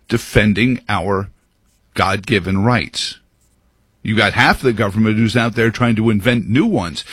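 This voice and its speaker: A man's voice: fairly low pitch, fairly bright tone, breathy edge, no echo. A man hosting a talk radio show, giving a rambling, opinionated monologue full of fillers and restarts.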